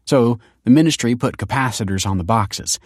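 A man voicing a feisty young woman in a narrated story, brisk, sardonic and emphatic. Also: mid-range voice, slightly rough, dry recording, clean studio sound, pitched above the performer's own voice, close to an everyday voice. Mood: brisk, informative. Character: sharp-tongued, teasing, feisty young woman.

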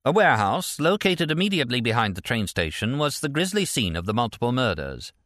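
A man's voice: mid-range voice, sing-song, a little fast, a bit bright, no room sound, studio quality, voice pushed up. A man voicing a formal, declamatory male television news anchor in an audiobook. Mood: grave, emphatic.

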